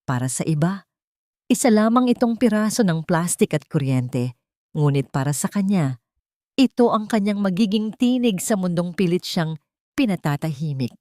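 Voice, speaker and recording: A woman reading a dramatic scripted audio story in a solemn, deliberate way. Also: on the low side, lively intonation, mellow, dry recording, studio quality. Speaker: story narrator.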